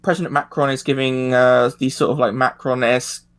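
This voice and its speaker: A man in a casual panel discussion, speaking informally and haltingly with pauses mid-phrase. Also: medium pitch, flat delivery.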